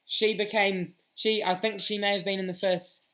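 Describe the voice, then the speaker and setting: A man's voice: high voice, little pitch movement, normal speed, sharp tone. A man co-hosting a quiz livestream, cheering and repeating each team name after it is announced. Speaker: quiz co-host.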